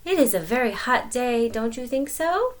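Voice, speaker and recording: A woman voicing a tricky, lazy spider from a folktale, with a sly, inviting manner, in an animated storybook reading. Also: fairly high pitch, normal speed, pitched above the performer's own voice. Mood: falsely friendly. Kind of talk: animated storybook read-aloud.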